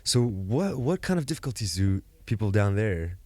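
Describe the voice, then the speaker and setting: Male podcast host, low voice, upbeat, chatty, casual interviewer. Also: sing-song, moderate pace, mellow, no echo. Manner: upbeat and chatty, loose phrasing with fillers. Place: business school campus during a conference.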